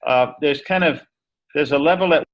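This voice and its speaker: A man's voice: on the high side, moderate pace, highs cut off. A man teacher giving a thoughtful, explanatory answer in an online discussion over a video call.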